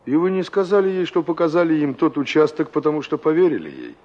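An actor in a Soviet radio play as a pensive young engineer, musing and questioning. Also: male voice, a little high, expressive, quick pace, darkish tone, close to an everyday voice. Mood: wistful. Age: young adult. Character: pensive young engineer asking after a girl he knew. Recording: dull old radio drama recording.

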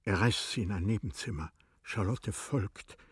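A man narrating a tragic classic story in a radio play, in a solemn, measured, dramatic manner. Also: lowish voice, slightly rough, dry recording, studio quality. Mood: urgent.

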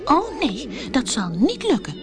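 A spirited young girl from a fairy tale, put on by a woman storyteller. Her voice is high and swings expressively, earnest and exclamatory as she puzzles over her odd world. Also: huge pitch swings, a little slow, creaky, music in the background, exaggerated voice. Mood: defiant. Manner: earnest, exclamatory, thinking aloud.

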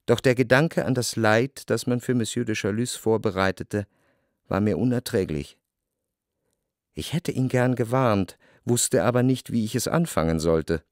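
An actor playing a reflective first-person literary narrator in a radio play, measured and introspective. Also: male voice, mid-range voice, dry recording, studio quality, close to an everyday voice. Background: music.

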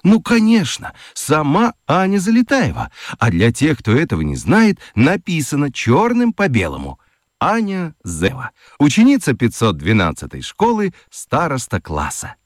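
Highly expressive male narrator. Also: moderate pace, no room sound, studio quality. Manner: expressive, storytelling. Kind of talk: scripted narration for a radio play.

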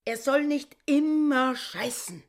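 An actress playing a prim, domineering, complaining housewife with a whining, insistent manner in a radio drama. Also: female voice, high voice, lively intonation, slow pace. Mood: indignant. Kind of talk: German radio drama acting.

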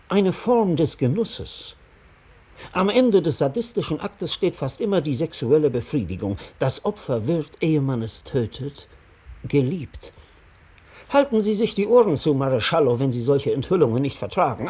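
An actor playing a captured British spy giving testimony in a 1960s German radio drama, precise, deliberate and coolly ironic. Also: male voice, a little high, warm tone, slightly rough, full character voice.

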